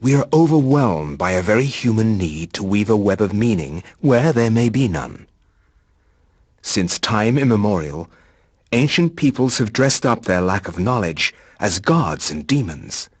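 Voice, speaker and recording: An actor playing a grave, pensive scholar narrating a dark legend for a video game in a measured manner. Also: male voice, fairly low pitch, very animated, slightly rough, no room sound, heavily acted. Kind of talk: scripted dramatic game narration.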